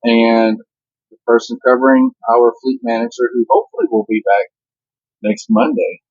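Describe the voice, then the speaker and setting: A man's voice: medium pitch, wildly swooping pitch, normal speed, gravelly, a little mellow, dry recording, lo-fi sound. An older man who drives a truck, chatting casually and giving matter-of-fact safety advice. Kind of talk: casual vlog chat.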